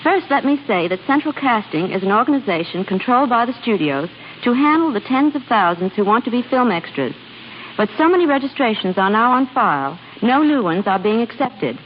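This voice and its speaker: A woman's voice: a little high, sing-song, brisk. A woman giving a scripted, formal and instructive talk as a guest on 1930s radio. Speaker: guest casting director. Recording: dull, noisy 1930s radio broadcast.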